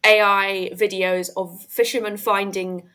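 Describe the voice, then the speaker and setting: A woman comedian guest chatting on a podcast in a dry, wry, deadpan way. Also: mid-range voice, measured pace. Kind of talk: casual comic chat.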